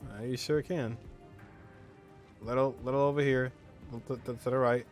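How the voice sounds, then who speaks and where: A man's voice: medium pitch, steady tone, moderate pace, faint music behind. A man giving informal, reactive gameplay commentary, half talking to himself with frequent exclamations. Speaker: gaming streamer.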